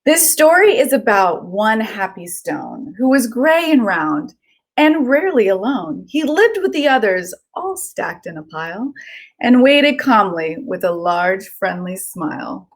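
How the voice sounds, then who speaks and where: A woman children's author chatting casually and reading aloud to children, with an excitable manner. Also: mid-range voice, measured pace. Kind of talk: casual chat and animated read-aloud for children.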